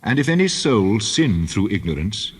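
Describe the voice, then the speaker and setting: Man as solemn low-voiced God in vast reverb. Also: very animated, husky. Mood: solemn, instructing. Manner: solemn, formal, measured. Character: God speaking law and judgement, solemn and commanding. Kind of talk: dramatized scripture reading. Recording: long booming reverb.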